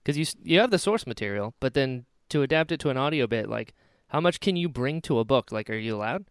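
A man with a clean, smooth voice at an average pitch hosts a casual interview. He speaks in an agreeable, conversational way, often in brief affirming replies. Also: animated, quick pace. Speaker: podcast host, interviewer.

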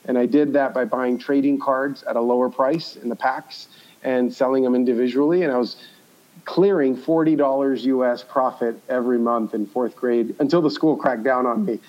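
A slightly dull, lo-fi recording carries a man's medium-pitched voice. He speaks as an interview guest, telling personal stories in a conversational way and repeating key words for emphasis. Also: fairly even intonation, moderate pace. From born in Cuba, grew up in the United States. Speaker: podcast guest, entrepreneur.

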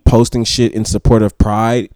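A man co-hosting a podcast, chatting in a relaxed slangy way and tossing in questions. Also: fairly low pitch, moderate pace, dry recording, clean studio sound. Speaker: podcast co-host. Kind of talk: casual podcast conversation.

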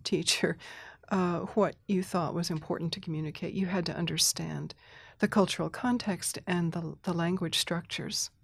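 A middle-aged woman in a reflective interview, her voice sitting a touch low. She talks thoughtfully, with small restarts, soft laughs and the odd throat clearing. The sound is dry and close. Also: dry recording. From Thailand. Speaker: interview guest.

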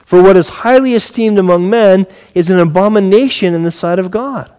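A man in his forties delivers a sermon in a fairly high voice for a male speaker. He sounds like an earnest pastor who talks conversationally and often puts questions straight to his listeners. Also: brisk, dark tone, smooth. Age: 40s.

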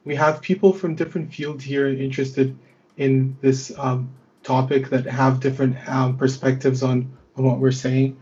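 A man's voice: mid-range voice, little pitch movement, moderate pace, darkish tone, highs cut off. A young man hosting a student podcast conversation, hesitant with many fillers and restarts. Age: young adult. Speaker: podcast host.

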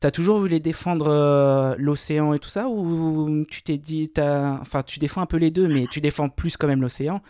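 A man's voice: fairly high pitch, normal speed, few pauses, mellow, smooth, dry recording, studio quality. A man co-hosting an interview, asking loose, informal questions in a casual chat. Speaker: co-host interviewer.

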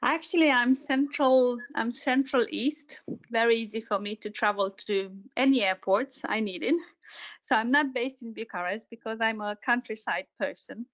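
Halting Romanian woman with a gravelly creak. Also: on the high side, fairly bright tone, lo-fi sound. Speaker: podcast guest, tour operator. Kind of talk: casual interview chat.

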